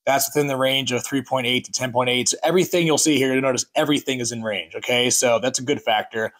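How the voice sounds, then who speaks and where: A man's voice: medium pitch, steady tone, fast talker, fairly bright tone. A man in his late thirties talks casually and frankly to the camera, often restarting sentences and hedging. He speaks from a home kitchen into a camera mic a short way off. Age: 30s. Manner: chatty, frank, restarts and hedges. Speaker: fitness vlogger. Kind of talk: casual vlog commentary.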